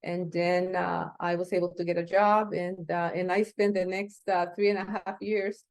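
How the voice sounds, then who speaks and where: A woman in her fifties from Costa Rica, speaking English with a Costa Rican accent as an interview guest, answering haltingly with many fillers and restarts. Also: fairly low pitch, level tone, darkish tone, no echo. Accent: Costa Rican Spanish. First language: Spanish. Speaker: interview guest, space agency executive.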